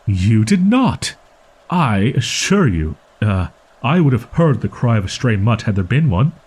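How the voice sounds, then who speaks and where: A husky young wolf-boy, acted by a man for an audio roleplay. He speaks in haughty, old-fashioned phrases and insists on his pride. Defensive stammers show how easily flustered he is. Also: medium pitch, big pitch swings, unhurried, a little mellow. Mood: indignant, defensive. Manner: haughty, stilted archaic diction, defensive stammering. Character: proud, flustered tsundere young wolf-boy with archaic speech. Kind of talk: roleplay audio drama monologue addressed to listener.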